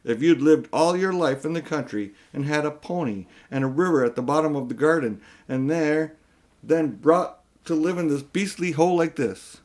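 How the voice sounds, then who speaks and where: A man's voice: mid-range voice, animated, normal speed, warm tone, close to an everyday voice. A man reading aloud and voicing a young boy who is miserable and worked up, in a children's story. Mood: upset.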